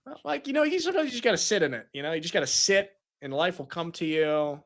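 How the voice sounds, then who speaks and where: A man in his forties leads a casual sports podcast, with a bright, forceful voice pitched a little high. He jokes, rambles with fillers and keeps the chat moving. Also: sing-song, fast. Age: 40s. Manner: joking, chatty, rambling with fillers. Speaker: podcast host.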